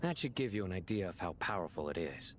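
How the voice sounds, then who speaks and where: Low, matter-of-fact explainer. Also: male voice, big pitch swings, quick pace, background noise. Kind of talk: video game voice acting.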